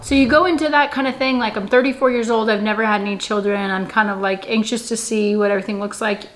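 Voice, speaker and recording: A woman's voice: a little high, steady tone, quick pace, slight background noise, rough recording. A woman in her thirties hosting her own channel, chatting casually and laughing often as she tells a personal story. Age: 30s. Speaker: channel host. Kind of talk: casual couple vlog chat.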